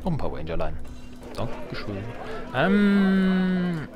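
A man's voice: a little high, wildly swooping pitch, slow pace, music in the background. A man giving casual, playful let's play commentary, joking at himself and muttering asides as he plays. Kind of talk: casual gameplay commentary, talking to himself and viewers.

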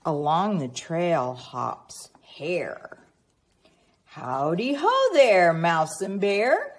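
A woman reading a picture book aloud expressively to young children, prompting them with teacherly questions and pauses. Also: lowish voice, big pitch swings, slightly rough. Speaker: children's read-aloud reader.

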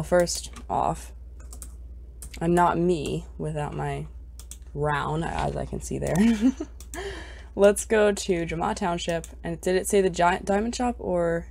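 A woman's voice: on the low side, vocal fry, darkish tone. A young woman with a congested, scratchy voice, chatting casually and gushing as she shops for items in a game. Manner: chatty and bubbly, gushing over cute items. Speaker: gaming YouTuber. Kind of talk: casual gameplay commentary.

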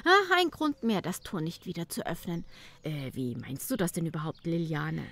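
A woman's voice: lowish voice, expressive, normal speed, a bit bright. A woman voicing a haughty, irritable, imperious countess in an audio play. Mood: haughty, sceptical. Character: haughty, dismissive countess absorbed in her painting. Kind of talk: audio drama character reading.

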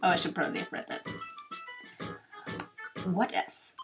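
A woman doing casual, self-deprecating video game commentary, often apologetic as she plays. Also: unhurried. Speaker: let's player. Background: game music.

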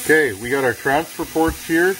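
A man explaining his chainsaw porting work in a relaxed, chatty workshop tutorial, with self-deprecating asides, speaking off camera over his bench. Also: a little high, normal speed. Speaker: chainsaw builder demonstrating porting. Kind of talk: casual hands-on workshop tutorial. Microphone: camera close to workbench, speaker off camera. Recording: noisy workshop with power tool. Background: rotary grinding tool whirring.

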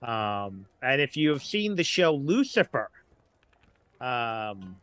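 A man's voice: mid-range voice, very animated, slow pace, a bit bright, dry recording. A middle-aged man talking casually and discursively as a podcast guest.

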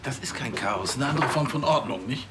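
An actor playing a bossy, excitable male police officer in a TV crime comedy. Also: medium pitch, fairly quick, close to an everyday voice. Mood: insistent. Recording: clear background noise with clicks.

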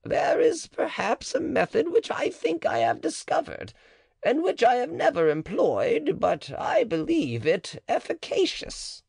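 A man reading the part of a cautious, scheming older female midwife, pitching his voice up and sounding protesting. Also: huge pitch swings, a little slow, gravelly, darkish tone, slightly rough, no room sound, heavily acted. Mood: wary. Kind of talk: audiobook character reading.

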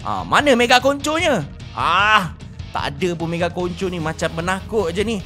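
A young Malaysian man hosts a gaming video in a bright, punchy voice. He comments playfully and exclaims often, doubling up words as he roleplays along. Faint music plays behind him. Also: high voice, sing-song, normal speed. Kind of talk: excited roleplay game commentary.

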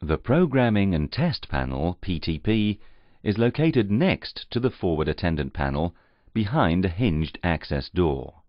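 A man reading scripted instructional narration in a formal, measured, carefully enunciated way. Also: deep voice, sing-song, no echo. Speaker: training narrator. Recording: slightly muffled, dull audio.

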